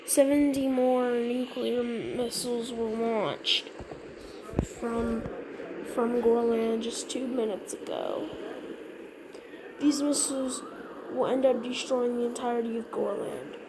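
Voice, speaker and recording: A woman reading a scripted mock emergency alert as a broadcast announcer, sometimes halting and repeating words. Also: on the high side. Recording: noisy recording with steady background noise.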